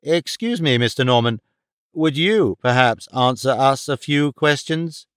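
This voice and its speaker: A man's voice: mid-range voice, measured pace, clean tone, no room sound, studio quality, close to an everyday voice. A man playing a confident, courteous detective in an audiobook, formally polite and probing. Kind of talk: audiobook character dialogue.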